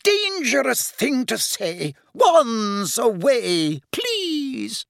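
A man reading an audiobook, voicing a flustered, fussy older female shopkeeper in a high falsetto. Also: huge pitch swings, drawn-out words, creaky, fairly bright tone, no echo, studio quality, exaggerated voice. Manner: high fluttering falsetto, anxious.

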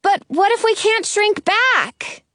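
Woman playing a fed up young girl in a kids story, whiny and wary, high voice, very animated pitch. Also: a little slow, no echo, studio quality, exaggerated voice. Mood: worried, protesting. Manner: whiny, protesting. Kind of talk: children's storybook character voice.

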